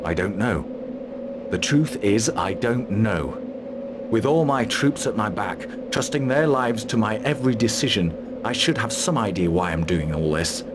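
A battle-hardened commander, low and unhurried, with big swings in pitch. He is stern, fearless and forceful, a mercenary leader in a fantasy game. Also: lively intonation, noisy background. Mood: brooding. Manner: terse, grim, forceful. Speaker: army commander. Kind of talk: fantasy video game cutscene acting.

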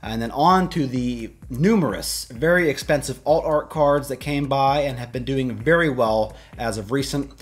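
A man's voice: mid-range voice, moderate pace, hardly any pauses. Man presenting an upbeat rundown. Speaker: YouTube host. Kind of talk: scripted-style auction price rundown to camera. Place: small indoor room with shelves of collectibles.

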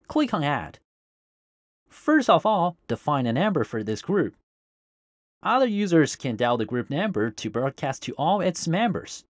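A man's voice: on the high side, dry recording. A man hosting a scripted tech tutorial as a voice-over, recorded close to the microphone. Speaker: tutorial host. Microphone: close voice-over microphone, dry.

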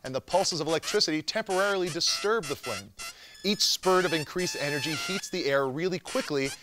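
A man's voice: high voice, wildly swooping pitch, fairly quick, background noise. A young man hosting a show with scripted comedic narration in a jokey, wry manner. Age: young adult. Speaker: host.

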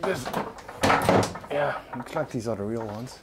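A man's voice: mid-range voice, measured pace, vocal fry, heavy background noise. A man giving casual, curious commentary while he walks through indoor simulators, filmed on a handheld camera. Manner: relaxed, curious, talking while walking. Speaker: urban explorer host. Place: inside a large indoor training hall and plane simulator.

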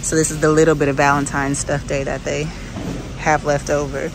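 A woman's voice: low-pitched, level tone, brisk. Woman vlogging casually amid store noise. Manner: informal, conversational. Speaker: shopping vlogger. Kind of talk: casual shopping chat, narrating as she goes. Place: inside a large grocery store. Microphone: handheld phone. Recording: clear store ambience noise behind voice. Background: supermarket background noise.

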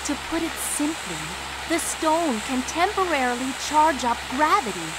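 A woman's voice: high-pitched, lively intonation, normal speed, very noisy. A woman voicing a brainy, self-assured young female bird engineer in a video game cutscene, explaining things in precise technical terms. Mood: assured, explanatory. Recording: game audio under loud music.

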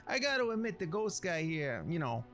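A man with a gravelly voice, playing a streetwise storyteller in rambling, slangy talk over gameplay. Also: fairly high pitch, big pitch swings, fairly quick, a bit bright. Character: streetwise storyteller recounting a local legend. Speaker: let's player narrating a roleplay story. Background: game music.

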